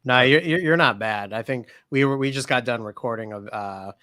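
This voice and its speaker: A man's voice: mid-range voice, moderate pace, pushed and bright, no echo, clean studio sound. A man co-hosting a casual livestream chat, upbeat and joking as he plugs merch. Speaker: co-host.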